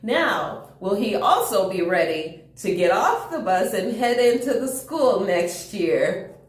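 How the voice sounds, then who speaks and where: Expressive, very slow-paced woman reading aloud. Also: mid-range voice. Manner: expressive, enthusiastic, chuckles often. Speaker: storytime reader. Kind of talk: children's read-aloud with chatty asides. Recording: roomy, echoing room.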